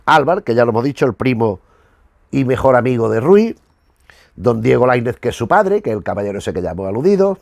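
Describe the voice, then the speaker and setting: A man's voice: mid-range voice, sing-song, brisk, vocal fry, a little mellow, dry recording, clean studio sound. A middle-aged man with an accent from Spain, giving informal, rambling commentary as a host, often correcting and repeating himself for emphasis. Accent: Spanish from Spain. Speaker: host of a retro television review show.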